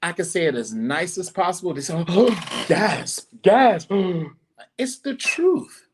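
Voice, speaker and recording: A middle-aged man hosting a podcast, giving a blunt, preachy monologue with animated asides. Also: sits high, takes it slowly, noisy background. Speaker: podcast host. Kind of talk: casual monologue.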